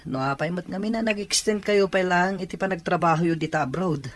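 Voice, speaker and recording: A woman's voice: fairly low pitch, a little fast, a little hiss or hum, natural voice. A woman playing a responsible, reproachful grown child in an Ilocano audio drama. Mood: reproachful, urging.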